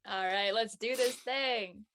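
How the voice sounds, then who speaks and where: A woman's voice: medium pitch, takes it slowly, fairly bright tone, a little hiss or hum. Woman acting a blunt, teasing young woman. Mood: dry teasing. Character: blunt, supportive young woman named Lexi, teasing partner. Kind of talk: acted audio drama dialogue.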